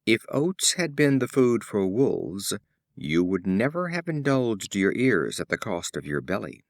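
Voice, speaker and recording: A man reading a fable voices a shrewd horse, formal and wry, unimpressed by flattery. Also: on the low side, a little slow, dry recording, clean studio sound.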